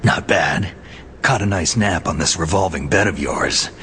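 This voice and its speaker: A man playing a tough, wry captive soldier in a video game, speaking in a terse deadpan. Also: deep voice, measured pace, slight background noise. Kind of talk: dramatic video game acting.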